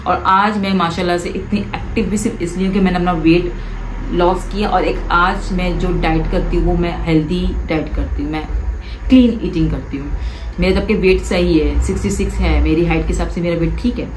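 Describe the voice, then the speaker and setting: Woman giving lively advice. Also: on the low side, fairly quick, echoey room, slight background noise, poor audio. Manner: animated, gestures a lot with both hands; direct, coaxing advice to the viewer. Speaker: vlogger. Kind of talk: casual advice vlog. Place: living room, seated on a sofa.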